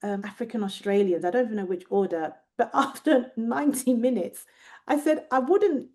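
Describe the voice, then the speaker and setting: A British Nigerian woman with a British accent, a researcher talking thoughtfully in an interview and often pausing to find her words. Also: a little high, warm tone. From Nigeria and Britain. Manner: thoughtful, searching for words, many restarts. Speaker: guest researcher. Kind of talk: reflective interview conversation.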